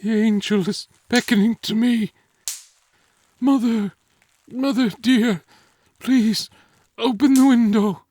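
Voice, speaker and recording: Breathy, husky, dying old man. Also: extremely high pitch, fairly even intonation, darkish tone, full character voice. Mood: passionate pleading. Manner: slow, fervent exclamations. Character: dying old father, enraptured by a heavenly vision. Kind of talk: audio drama acting.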